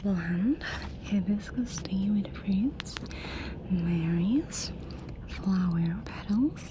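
A woman speaks in a breathy, hushed whisper at a leisurely pace. She plays a train attendant in an ASMR roleplay, gentle and attentive. Her pitch is mid-range, and steady ambient noise is plainly audible behind her. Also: extremely slow. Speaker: ASMR performer playing a first-class train attendant. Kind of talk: soft-spoken ASMR roleplay, mostly whispered. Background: clear ambient noise.

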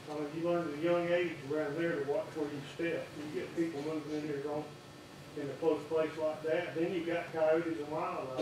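Brief: older farmer, blunt and plainspoken, echoey room, far mic. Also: male voice, a little high, noisy background. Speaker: public hearing speaker, farmer. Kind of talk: public comment at a meeting. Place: large public meeting room.

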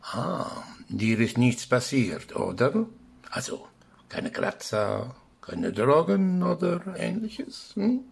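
An overprotective, fussy dad in a radio play. His voice is creaky and very raspy, with slow, animated swings. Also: medium pitch, heavily acted. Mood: prying, anxious. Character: fussy, overprotective father of a teenage girl. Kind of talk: German audio drama acting.

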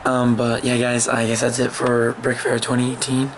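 A young man with a hoarse, worn-out voice rambles casually to a camera held at arm's length in his small bedroom. His speech is full of ums and restarts, and a loud, constant noise sits under the recording. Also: mid-range voice, completely flat delivery, moderate pace. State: hoarse, lost voice. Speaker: vlogger. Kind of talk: casual vlog talk to camera.

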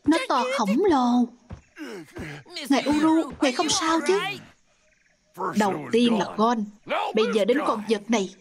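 A woman dubbing all the characters of an animated series by herself, shifting between many voices, some lowered and gruff. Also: unhurried, pauses often, crowd noise. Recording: loud music behind the voice.

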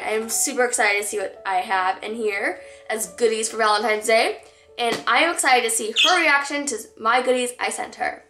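A young woman with a hoarse, fading voice, chatting excitedly to camera from her couch as a vlogger. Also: fairly high pitch, echoey room. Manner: gushing, excitable. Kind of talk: casual chat to camera. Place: living room, on a couch.

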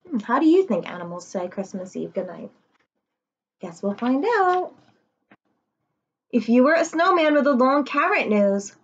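Young woman reading aloud, high husky voice. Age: young adult. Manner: expressive, addressing young listeners with questions. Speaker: storyteller reading a picture book to children. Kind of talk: children's read-aloud with asides. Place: living room, indoors. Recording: slightly dull webcam sound.

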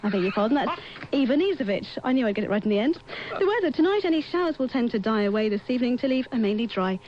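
A woman's voice: on the high side, animated, very fast. A woman reading news and weather on the radio. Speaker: radio newsreader. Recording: dull, faintly noisy radio tape.

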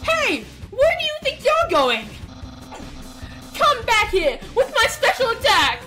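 A woman's voice: very high-pitched, huge pitch swings, slow pace, over music, heavily acted. A woman voicing a boastful, bumbling skeleton warrior from a video game, with pompous, theatrical declarations. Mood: indignant, dramatic. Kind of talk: video game dialogue voiced over.

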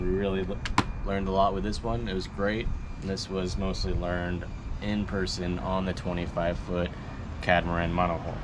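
A man in his thirties giving a relaxed vlog recap outdoors at a marina, with frequent ums and uhs. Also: sits low, noisy background. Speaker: vlogger.

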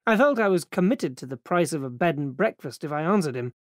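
A man's voice: fairly high pitch, expressive, a little fast, dry recording, clean studio sound. A man with an English accent narrating a first-person literary story for an audiobook.

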